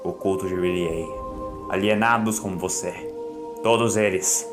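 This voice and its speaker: A man voicing an imperious, defiant male god of dreams in solemn, archaic declamation for a dramatic audiobook, with loud music behind him. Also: deep voice, measured pace, voice pushed down. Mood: cold, disdainful.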